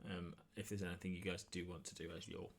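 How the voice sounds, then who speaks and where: Male game master, lowish pitch, tabletop role-play narration, conversational, improvised, halting phrasing. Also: flat delivery, creaky, background noise.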